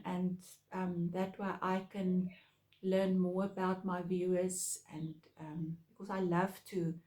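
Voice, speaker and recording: A woman's voice: lowish voice, dark tone, echoey room, poor audio. A woman from South Africa, with Afrikaans as her first language and an Afrikaans accent, chatting casually and hesitantly to her viewers as a craft podcast host. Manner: hesitant, many pauses and fillers.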